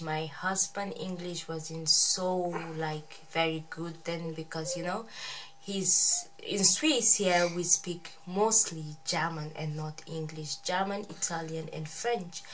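A woman's voice: low-pitched, a bit bright, slight background noise, rough recording. A woman in her thirties hosting a casual family vlog, speaking to viewers and often repeating words. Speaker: vlogger host. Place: small bedroom, indoors.

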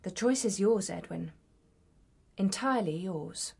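An actress playing a proud, estranged young wife in a period radio drama, with an English accent and a firm, formal manner. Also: female voice, mid-range voice, animated, unhurried, creaky, dark tone, slightly rough, dry recording, heavily acted. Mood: cold.

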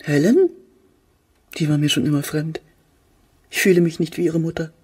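An actress playing a middle-aged, cold-hearted, bitter mother in a radio play, with a cutting, scornful manner and a husky low voice. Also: normal speed, darkish tone.

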